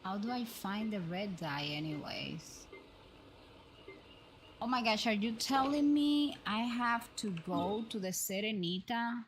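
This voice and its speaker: A woman's voice: mid-range voice, animated, slow pace, a little hiss or hum, poor audio. A woman chatting casually as she hosts a game livestream, talking straight to viewers and often checking in with tag questions. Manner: conversational, addresses viewers with frequent tag questions. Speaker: live streamer, host. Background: background music.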